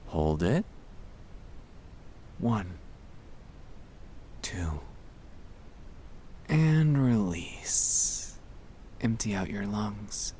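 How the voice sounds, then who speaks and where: A man speaking softly and soothingly, often slipping toward a whisper, as he gently guides breathing in a sleep-aid ASMR style. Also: on the low side, very animated, very slow, long pauses, a little hiss or hum. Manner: soft-spoken, soothing, often dropping into whispers. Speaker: ASMR creator guiding breathing exercises.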